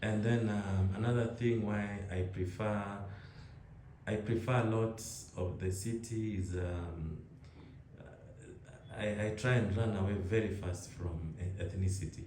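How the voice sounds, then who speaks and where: A man's voice: on the low side, measured pace, roomy sound, slight background noise. A young Kenyan man with a Kenyan accent, chatting easily and answering questions, restarting his words often. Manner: relaxed, rambling, frequent restarts and laughs. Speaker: interviewee. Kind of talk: casual conversation.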